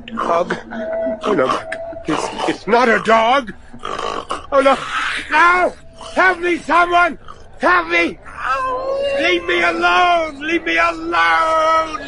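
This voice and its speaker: An actor playing a grave, anxious judge in a gothic horror radio play, with theatrical, deliberate delivery. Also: male voice, extremely high pitch, big pitch swings, gravelly, raised voice, very noisy, exaggerated voice. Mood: terrified. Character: grave, anxious judge searching for his missing son.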